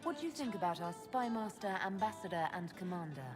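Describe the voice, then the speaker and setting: A woman playing a composed, kindly mage leader in a video game, measured and gently teasing. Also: mid-range voice, expressive, music in the background, lightly acted. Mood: calm, curious. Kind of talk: video game character acting.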